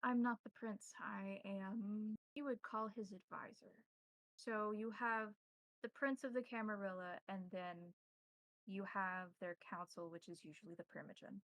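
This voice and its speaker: A woman playing a courteous, cryptic vampire priest and advisor, speaking formally in measured, halting phrases in a tabletop roleplaying game. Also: medium pitch, fairly even intonation, fairly bright tone, dry recording, rough recording. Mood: solemn, explaining.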